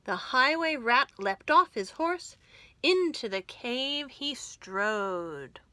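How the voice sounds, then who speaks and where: Slow, animated woman reading a storybook. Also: high voice. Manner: deliberate, rhythmic verse reading. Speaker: library storytime reader. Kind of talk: expressive children's storybook narration.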